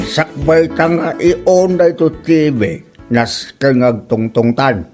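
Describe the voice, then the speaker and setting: An actor playing an excitable, thrifty husband who bickers in a comedy drama, with music behind him. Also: male voice, a little high, measured pace. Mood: animated. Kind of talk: comedy radio-style drama acting.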